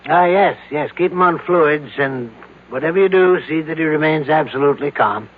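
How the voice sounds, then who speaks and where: An actor playing a calm, cautious hospital doctor in a radio drama, speaking in a formal, clinical manner. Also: male voice, on the high side, normal speed, lightly acted. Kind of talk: old-time radio drama acting. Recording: hissy, tinny vintage radio broadcast.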